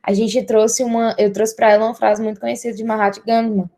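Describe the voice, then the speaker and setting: A woman's voice: fairly high pitch, flat delivery, fast talker, warm tone. A young adult woman with a Brazilian accent, giving an academic presentation as a university student.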